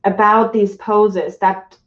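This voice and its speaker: A woman's voice: mid-range voice, steady tone, slow, roomy sound, highs cut off. Chatty woman from Germany teaching live. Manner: chatty, reads out viewer comments. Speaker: yoga teacher hosting a live stream. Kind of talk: casual live-stream teaching.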